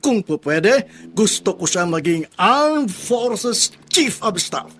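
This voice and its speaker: Stern Filipino colonel, radio drama, deliberate pace, wide swings in pitch, emphatic, proud. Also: male voice, sing-song, a bit bright, a little hiss or hum, full character voice. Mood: proud, authoritative. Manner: emphatic, deliberate, repeats words. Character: strict, proud retired army colonel, ambitious father.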